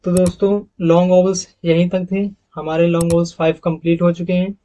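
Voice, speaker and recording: A man's voice: sits high, little pitch movement, fast talker, dark tone. A man with an Indian accent teaching English phonetics over slides, repeating each model word several times and calling his viewers friends. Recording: dull lo-fi recording.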